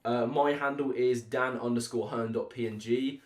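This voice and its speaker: A man's voice: medium pitch, steady tone, normal speed. A British man in his twenties, a tipsy podcast host chatting casually and rambling informally with friends. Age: 20s. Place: small makeshift recording room late at night. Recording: roomy, echoey home recording.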